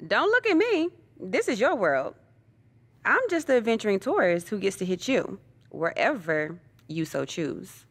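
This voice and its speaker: A cocky, teasing wrestling challenger. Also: female voice, mid-range voice, very animated, measured pace, dry recording. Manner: teasing, self-assured. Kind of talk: video game cutscene acting.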